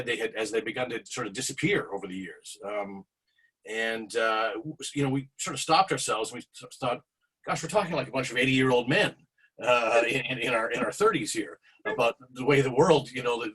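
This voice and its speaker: A man's voice: medium pitch, a bit bright, slightly rough, rough recording. A man in his forties, a guest speaker recalling his past in a rambling way on a video call, with many restarts. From Canada. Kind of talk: casual storytelling on a video call.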